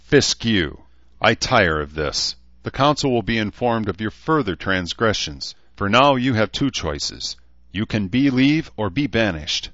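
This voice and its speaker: A man's voice: on the low side, sing-song, a little slow, a bit bright, dull audio, voice pushed up. Man playing a stern, imperious elf lord, roomy sound. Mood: commanding, disdainful. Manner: formal, imperious, archaic phrasing. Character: haughty, ancient, stern male elf lord. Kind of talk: fantasy audiobook character voice.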